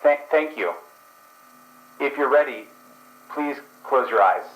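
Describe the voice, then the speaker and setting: A man's voice: mid-range voice, animated, takes it slowly, fairly bright tone, roomy sound, a little hiss or hum, low-quality recording. A man voicing a hesitant, procedural dream investigator who stumbles over his words in an actual play podcast. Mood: formal.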